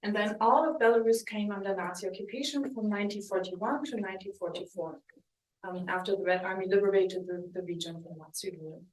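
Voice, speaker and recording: A woman from Germany giving an academic book talk as a historian, speaking thoughtfully with pauses and restarts. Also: mid-range voice, darkish tone. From West Germany. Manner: thoughtful, hesitant, with restarts and ums. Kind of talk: academic lecture with slides. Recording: roomy, mic at a distance.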